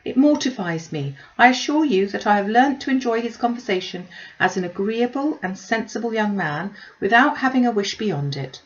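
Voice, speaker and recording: A woman voicing a gentle, modest and earnest young lady in a novel reading, polite and measured. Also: fairly high pitch, expressive, muffled recording, voice pushed up, lightly acted. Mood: earnest protest.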